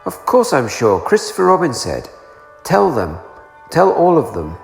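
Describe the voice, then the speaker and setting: A man's voice: on the high side, wildly swooping pitch, measured pace, vocal fry, soft background music, voice pushed up, heavily acted. A man voicing a fussy, self-important storybook owl who asks eagerly and wants to help. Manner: fussy, questioning. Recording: roomy echo, long reverb.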